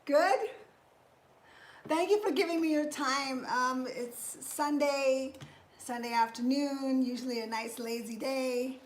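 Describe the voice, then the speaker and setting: Woman, fifties, live-stream fitness host; high voice, slow and chatty, greets viewers by name, roomy echo. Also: fairly even intonation, slight background noise. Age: 50s. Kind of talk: live-stream casual talk. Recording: echoey room.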